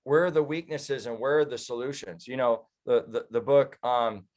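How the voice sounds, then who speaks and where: A man's voice: mid-range voice, moderate pace, darkish tone. A man with an American accent giving conversational political commentary as an interview guest, in an earnest, speechifying manner. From United States. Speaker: political activist and professional speaker, interview guest.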